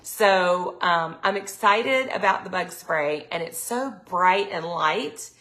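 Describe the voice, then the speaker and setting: A middle-aged woman, chatting casually on a live sales stream, her throat a little raspy from allergies. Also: fairly low pitch, animated, slow, sharp tone. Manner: chatty, lots of ums, reads viewer comments aloud. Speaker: product consultant hosting a live sales stream.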